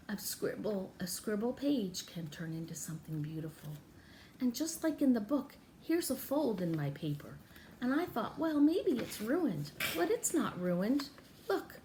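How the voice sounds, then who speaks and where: A woman's voice: medium pitch, a little hiss or hum, low-quality recording. A woman teaching and storytelling for small children, talking in an exaggerated sing-song way with coaxing questions and repetition. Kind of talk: children's story time with craft demonstration.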